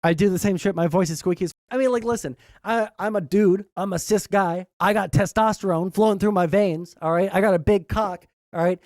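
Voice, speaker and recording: A young man with a high tenor voice, giving blunt, sarcastic reaction commentary and swearing freely. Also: fairly quick, few pauses, darkish tone. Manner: blunt, sarcastic, swears often, many "all right?" tags. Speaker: commentary streamer.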